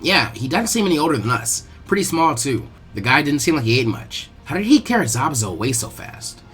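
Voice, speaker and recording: Play as a man reading a friendly, caring teenage ninja pal in an anime story, lively in pitch and moving along briskly. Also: faint music behind. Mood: puzzled. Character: friendly, concerned teenage boy ninja who loves snacks.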